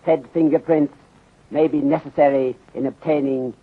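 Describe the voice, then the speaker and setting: A courteous, methodical Chinese detective from an old radio serial. He speaks in formal, deliberate, clipped phrases with a slightly raised, husky voice, through a muffled 1930s recording. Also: a little high, fairly even intonation. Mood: calm, insistent.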